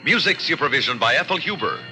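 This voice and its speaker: A man's voice: a little high, big pitch swings, fast talker, pushed and bright. A man reading scripted announcements in a formal, polished, emphatic old-time radio style. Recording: old network radio broadcast, faint music.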